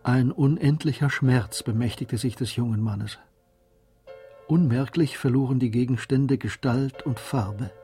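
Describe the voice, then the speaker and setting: A man narrating a literary German radio drama in a measured, composed storytelling manner. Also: medium pitch, mellow, soft background music.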